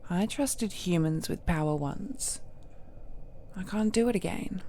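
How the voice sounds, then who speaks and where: Casting: woman as ancient cursed goddess, possessive romantic villain. Unhurried, mellow, intimate delivery that sinks into breathy whispers. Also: fairly low pitch, a little hiss or hum. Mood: wounded, menacing. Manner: slow, intimate, often drops to whispers. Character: ancient cursed deity, possessive, tender yet menacing villainess. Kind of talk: romantic villain audio roleplay monologue.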